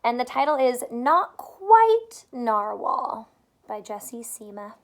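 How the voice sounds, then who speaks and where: A woman reading a children's picture book aloud like a teacher, expressive and pausing to point out details in the pictures. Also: on the high side, slight background noise. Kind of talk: children's story read-aloud with asides.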